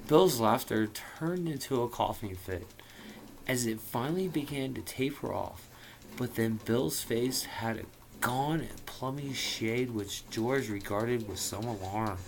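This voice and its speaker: A man's voice: mid-range voice, lively intonation, unhurried, gravelly, a little hiss or hum. A man reading a story aloud informally, with a theatrical, irreverent manner and profane casual asides. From US Midwest. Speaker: amateur audiobook reader.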